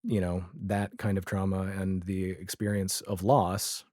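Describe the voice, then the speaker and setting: Low-voiced man talking reflectively, dry recording. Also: flat delivery, a little slow, darkish tone, no room sound. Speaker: podcast guest. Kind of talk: casual, reflective interview conversation.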